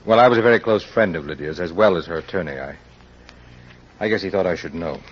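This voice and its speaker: An actor playing a reserved, guarded male lawyer in an old radio mystery, speaking in a measured, formal way. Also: low voice, breathy edge. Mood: guarded politeness. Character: reserved, guarded male attorney and loyal friend. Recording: dull, noisy old radio recording.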